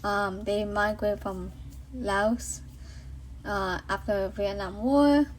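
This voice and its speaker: A woman in her late thirties with a Boston accent tells her own story into a phone. She talks earnestly at a middle pitch, rambling, restarting sentences and filling pauses. A faint hiss sits behind her voice. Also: fairly even intonation, poor audio. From Boston area, USA. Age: late 30s. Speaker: vlogger sharing her faith testimony. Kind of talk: casual spoken testimony. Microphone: iPhone.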